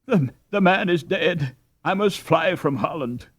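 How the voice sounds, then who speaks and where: A man voicing an injured, dazed Dutch husband in an audiobook, halting and groping for words as he strains to remember. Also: fairly high pitch, animated, voice pushed up. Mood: troubled recollection. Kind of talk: audiobook character dialogue.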